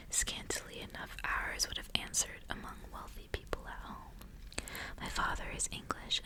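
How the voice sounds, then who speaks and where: Woman, ASMR host, hushed breathy whisper, careful reading, close mic. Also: low-quality recording. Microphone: close ASMR microphone.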